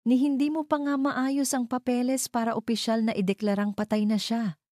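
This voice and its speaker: A woman's voice: a little high, little pitch movement, moderate pace, dark tone, no room sound, clean studio sound, pitched above the performer's own voice, natural voice. A woman voicing an insecure, demanding young mistress in a romance drama, pleading and indignant. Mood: hurt, frustrated.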